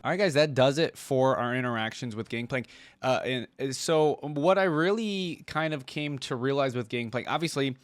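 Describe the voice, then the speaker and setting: A man's voice: medium pitch, normal speed, no echo. A man giving casual reaction commentary as a video host, in an informal, rambling way.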